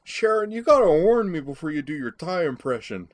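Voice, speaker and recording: A brash young woman pilot, tipsy and mocking, as a male narrator puts her on. The voice jumps up high and loud, full of theatrical exclamation and slangy swagger, in a storybook telling. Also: lively intonation, normal speed, lightly acted. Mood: boisterous. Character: drunken, brash, boisterous young woman pilot, prone to mockery.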